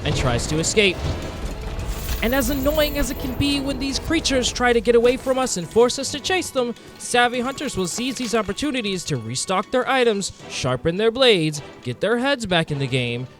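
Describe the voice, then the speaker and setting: A man narrating video game footage in a dramatic, documentary-like storytelling style with formal, measured wording. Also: very high-pitched, sing-song. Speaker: game streamer narrating own hunt. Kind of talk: scripted-sounding nature documentary style game commentary. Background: game music under the voice.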